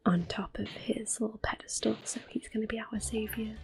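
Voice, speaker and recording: A woman giving gentle, unhurried ASMR gameplay commentary in a soft, whispered voice, reassuring and close to the microphone. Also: fairly high pitch, fairly even intonation, vocal fry, faint music behind. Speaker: ASMR gaming host.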